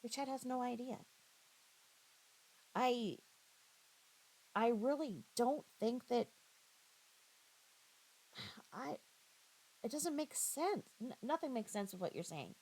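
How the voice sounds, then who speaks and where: A woman giving critical, confrontational reaction commentary, scornful and halting, often repeating her phrases. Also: a little high, lively intonation, darkish tone, slightly rough, poor audio. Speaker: commentator reacting to another creator's livestream.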